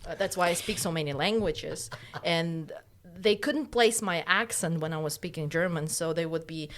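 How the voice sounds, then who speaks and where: Low-voiced woman telling playful stories. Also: normal speed. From Uzbekistan. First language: Russian. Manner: playful, teasing, joking. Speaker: podcast co-host. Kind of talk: casual chat, animated storytelling.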